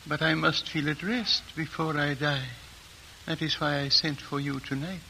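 An actor playing an ancient, dying male lama who speaks in slow, grave, prophetic tones, recorded in a 1940s radio drama. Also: fairly high pitch, steady tone, vocal fry, pushed and bright, slight background noise, rough recording. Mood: solemn. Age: older. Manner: slow, grave, solemn pronouncements. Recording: old radio broadcast with music underscore.

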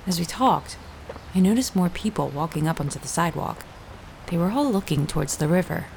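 Low-voiced middle-aged mother, creaky. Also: expressive, a little fast, a little mellow. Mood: matter-of-fact. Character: ordinary working mother, capable runner narrating her own story. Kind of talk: first-person audio drama narration and dialogue. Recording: noisy outdoor soundscape with vehicles and sirens.

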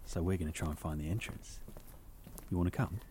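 An actor playing a teasing but considerate young theme-park worker with a British accent, informal and conversational in an audio drama. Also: male voice, low-pitched, fairly quick, mellow, a little hiss or hum, close to an everyday voice. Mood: sheepish, casual. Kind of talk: naturalistic audio drama acting.

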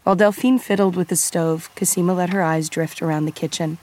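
A woman's voice: fairly low pitch, fairly even intonation, a little fast, a little mellow, poor audio. A woman reading scripted fiction as an audiobook narrator.

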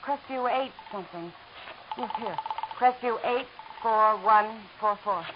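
A woman's voice: medium pitch, a little slow, close to an everyday voice. An actress playing a nervous, hesitant young woman who stammers, in 1940s radio acting. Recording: hissy dull old radio broadcast.

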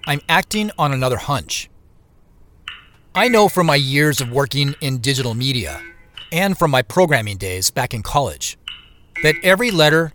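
A man playing a reflective, determined amateur investigator, narrating an audio drama in the first person in a deliberate, questioning manner. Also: medium pitch, noisy background. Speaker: lead actor and narrator.

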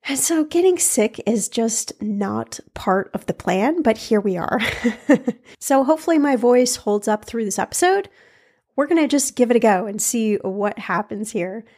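A woman hosting a money podcast, hoarse and froggy from a recent cold, chatting casually and laughing between points. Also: fairly high pitch, a little mellow. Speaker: podcast host. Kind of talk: casual solo podcast talk.

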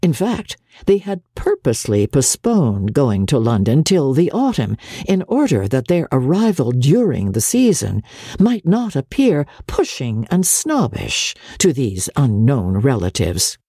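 A woman reading a classic novel aloud as a dramatic audiobook narrator, in a measured, expressive way with deliberate phrasing. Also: a bass voice, husky, no echo, studio quality.

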